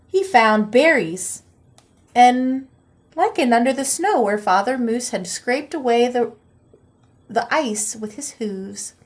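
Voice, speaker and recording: A woman teacher reads a picture book aloud to children. Her voice sits a little high for a woman. Her storytelling is lively and expressive, rising on the exciting parts. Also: slow.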